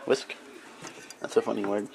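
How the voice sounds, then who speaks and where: A man's voice: lowish voice, fairly even intonation, moderate pace, creaky, background noise. A young man filming a home video in a kitchen, joking and teasing in casual banter. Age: young adult. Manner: teasing, wisecracking. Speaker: vlogger filming. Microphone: handheld camera mic.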